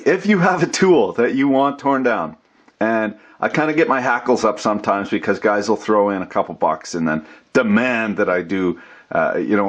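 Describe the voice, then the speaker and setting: A man's voice: lowish voice, muffled recording. A middle-aged man hosting a workshop channel, talking casually off the cuff with salty, folksy slang and swearing. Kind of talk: casual, unscripted channel update.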